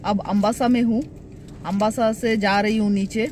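A woman's voice: mid-range voice, steady tone, moderate pace, a little mellow, a little hiss or hum. A woman travel vlogger from West Bengal chatting casually on a road trip, listing places and costs as she goes, recorded on a phone held at arm's length in a car and outdoors.

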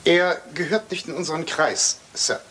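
Formal, higher-pitched butler played by a man. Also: moderate pace, fairly bright tone, natural voice. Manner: formal, deferential. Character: formal, discreet male butler. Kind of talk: German radio crime play acting. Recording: old radio play with faint hiss.

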